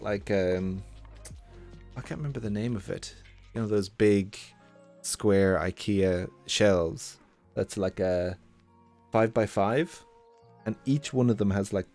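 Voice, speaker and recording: A man with a mild Irish accent chats to his live-stream viewers in a loose, rambling way, full of jokes and restarts. His voice sits on the lower side. Soft music plays faintly behind him. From Ireland. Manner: chatty, rambling, jokey, self-interrupting. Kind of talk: casual chat with live chat. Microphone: good desk mic at computer.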